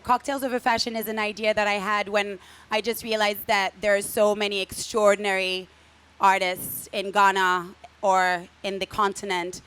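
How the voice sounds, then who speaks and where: A woman hosting a live fashion talk in front of an audience, with a middle-pitched voice. She speaks haltingly, often repeating small words before she finds her phrase. Her delivery is friendly and conversational. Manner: hesitant, repeats words, warm welcoming tone. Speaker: host. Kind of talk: live event interview. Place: event space with live audience.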